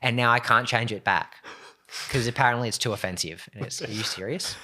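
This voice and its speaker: A man's voice: medium pitch, brisk, background noise. A man chatting and telling casual anecdotes. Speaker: podcast cast member.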